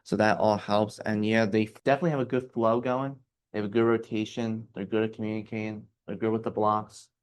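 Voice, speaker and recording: Low-voiced, level-toned man in sports chat. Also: little pitch movement, fairly quick, smooth. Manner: casual, starting sentences with "I mean". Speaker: podcast co-host.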